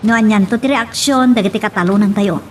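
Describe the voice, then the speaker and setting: A woman's voice: mid-range voice, fast talker, a little hiss or hum, natural voice. A woman playing the inquisitive grown daughter of landowners in an audio drama. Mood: curious. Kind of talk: Ilocano audio drama acting.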